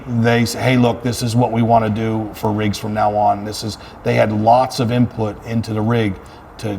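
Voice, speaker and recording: A man with a low voice talks casually as an interview guest. He is earnest and conversational, often repeating a phrase to press it home. There is clear ambient noise behind him. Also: darkish tone. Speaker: fire chief, interview guest. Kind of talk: casual interview talk about work.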